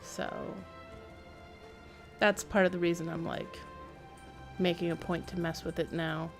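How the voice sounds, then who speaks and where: A woman's voice: fairly low pitch, little pitch movement, a little slow, gravelly, over music. A woman chatting casually and thinking aloud as she livestreams a video game. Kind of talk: casual game stream commentary.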